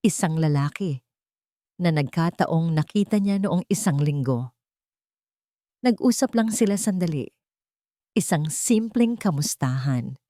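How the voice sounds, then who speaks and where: Low-pitched woman narrating drama. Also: animated, measured pace, long pauses, mellow, no room sound, clean studio sound. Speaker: storyteller. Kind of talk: scripted dramatic story narration.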